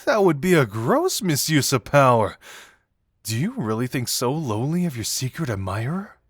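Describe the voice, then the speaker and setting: A man's voice: medium pitch, sing-song, normal speed, husky, no echo, clean studio sound. Man playing a playful detective with swooping intonation. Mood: teasing, intrigued. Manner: teasing, theatrical, musing hums and soft chuckles. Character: playful, teasing young male detective agency colleague. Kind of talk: audio roleplay acting addressed to the listener.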